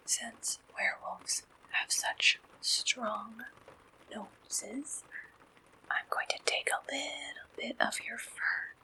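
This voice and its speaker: A woman doing a gentle, polite ASMR roleplay as a friendly, helpful service clerk, speaking in soft whispers. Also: a little high, rough recording. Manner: gentle, polite, attentive customer-service way of talking. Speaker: ASMR performer playing a dating service receptionist.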